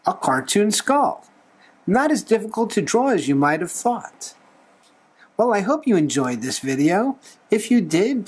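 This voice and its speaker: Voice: mid-range voice, slightly rough. A tutorial host talking through a drawing step by step in a playful, encouraging manner. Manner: playful, light humour, encouraging.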